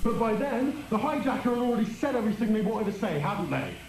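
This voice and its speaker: A man's voice: high voice, very animated, fast, a little mellow. A man playing an angry, foul-mouthed station boss, sarcastic and berating, in a horror drama. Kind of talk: dramatic horror audio acting. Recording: very echoey, distant, faint noise.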